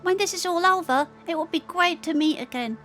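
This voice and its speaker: An earnest little fantasy heroine, voiced by a woman speaks in an audio drama. The pitch is extremely high and the manner polite and sincere, over gentle music. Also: brisk. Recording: music bed under voice.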